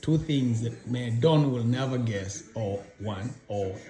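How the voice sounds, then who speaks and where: A man's voice: medium pitch, takes it slowly, mellow. A middle-aged man asking questions casually, rephrasing as he goes and prompting for answers. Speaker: interviewer, father of the guest. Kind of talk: casual interview. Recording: roomy echo with music behind.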